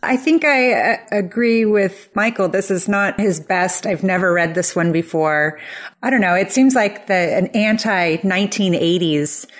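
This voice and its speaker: A woman's voice: mid-range voice, a little slow. A woman giving her opinion in a casual group chat, in a hesitant, tentative way. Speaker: panel participant.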